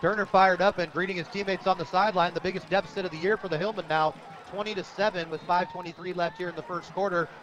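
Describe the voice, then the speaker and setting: High-voiced American football play-by-play man, noisy. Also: quick pace, highs cut off. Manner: excited, emphatic calling of plays. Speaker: play-by-play radio announcer. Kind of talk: live American football commentary. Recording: outdoor stadium broadcast, heavy background noise.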